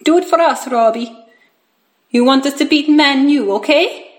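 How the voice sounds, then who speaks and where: A woman's voice: high voice, normal speed, pitched above the performer's own voice, close to an everyday voice. A kindly Italian football star, acted by a woman reader in an audiobook. He speaks with an Italian accent in broken, coaxing phrases, encouraging and full of little questions. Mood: rousing. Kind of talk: audiobook character dialogue.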